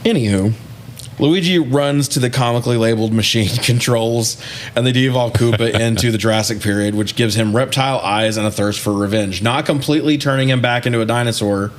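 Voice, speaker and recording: A man's voice: mid-range voice, a little fast, a little hiss or hum. A man co-hosting a podcast, casually recapping a film in an irreverent, wry way and swearing freely. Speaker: podcast co-host.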